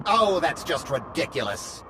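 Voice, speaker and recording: Jittery eager game sidekick, blurts stuff, really animated, super loud music behind. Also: female voice, low voice, unhurried. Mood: flustered. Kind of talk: video game voice acting. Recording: very loud music and sound effects.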